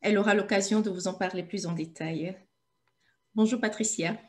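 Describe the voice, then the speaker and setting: Woman host, mid-pitched, interviewing a guest over a video call. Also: fairly even intonation, normal speed. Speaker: host of own channel. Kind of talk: interview hosting.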